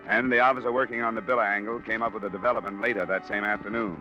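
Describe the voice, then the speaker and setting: A very low male voice of an actor plays a cool, probing radio detective. He is measured and matter-of-fact, on a dull old recording with music behind it. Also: fairly even intonation, lightly acted. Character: cool, probing male insurance investigator narrating his case. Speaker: detective lead and narrator. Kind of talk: 1950s radio detective narration and dialogue.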